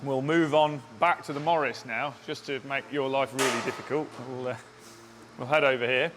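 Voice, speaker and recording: A man's voice: mid-range voice, steady tone, music in the background. A man giving a casual walkaround update on a car build in a workshop, talking technically and restarting his sentences often. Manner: halting, restarts often, plain technical talk.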